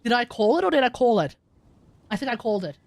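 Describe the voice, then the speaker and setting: A man's voice: very high-pitched, very animated, fast talker, gravelly. A man with an Australian accent giving casual, opinionated, rambling live commentary as he plays a game. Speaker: game streamer.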